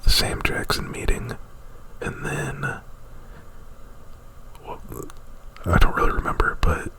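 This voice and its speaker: A man whispering an ASMR retelling of a movie plot right up at the mic, in a halting, rambling, informal way. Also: pushed and bright. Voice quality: breathy whisper. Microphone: very close to the mic.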